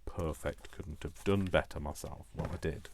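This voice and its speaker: A man's voice: rumbling low, steady tone, normal speed, a little mellow, slight background noise. A British man hosting a casual craft tutorial, chatting in a rambling, jokey way as if to himself. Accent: British English.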